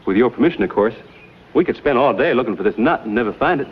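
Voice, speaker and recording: A man's voice: medium pitch, expressive, fast talker, muffled recording, a little hiss or hum, close to an everyday voice. A man playing a laid-back American frontiersman. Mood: hesitant. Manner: drawling, hesitant with many uhs. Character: easygoing American frontiersman, helpful and wry. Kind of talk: period TV drama acting.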